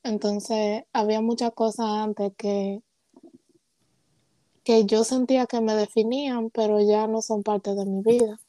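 A woman's voice: mid-range voice, a little slow, no echo. Young woman, dry close sound. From Puerto Rico. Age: young adult. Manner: relaxed, hesitant, mixes English words into her Spanish. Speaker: guest. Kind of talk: casual conversation.

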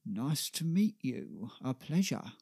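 A man's voice: medium pitch, very animated, takes it slowly, vocal fry, warm tone, breathy edge, no room sound, studio quality, exaggerated voice. A man voicing a big shipyard worker, blunt in manner and effusive as a star-struck fan. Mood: blunt, admiring.

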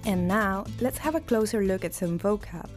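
A woman narrating a story for language learners at a slow, deliberate pace, carefully enunciating each word. Soft music plays faintly beneath her voice. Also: mid-range voice, animated. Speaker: podcast host and story narrator.

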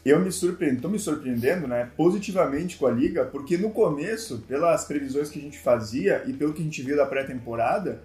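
A man's voice: fairly high pitch, animated, brisk, few pauses, a little mellow, soft background music. A man from Brazil co-hosting a casual football podcast, talking with enthusiasm and many fillers. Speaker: podcast co-host. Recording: echoey room.

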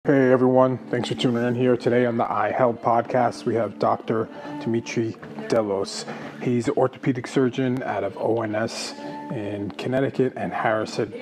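Casual male host, steady monotone reading, music underneath. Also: medium pitch, moderate pace. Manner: casual, loose phrasing with "kinda". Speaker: podcast host, physical therapist. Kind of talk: podcast intro and interviewing. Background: music bed.

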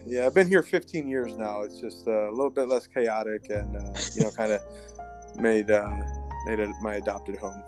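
A man around forty with an American accent talks in a fairly low voice. He tells personal stories in casual, slangy language as an interview guest. Soft music sits underneath. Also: fairly even intonation, moderate pace. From upstate New York. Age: about 40. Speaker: interview guest, army veteran.

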